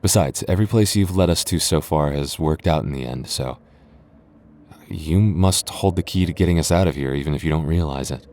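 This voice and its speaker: A man plays a gentle goth boyfriend with a low-pitched voice. He talks in a musing, hesitant way, as if to someone close beside him in an intimate audio roleplay. He comes across as sentimental and tender. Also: brisk. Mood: gently encouraging. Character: gentle, sentimental goth boyfriend lost in endless haunted street.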